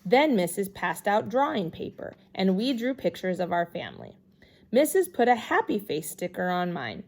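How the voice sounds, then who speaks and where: Animated woman reading a children's storybook. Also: mid-range voice, normal speed. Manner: animated, acts out the dialogue. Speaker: storybook reader. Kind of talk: expressive read-aloud of a children's chapter book.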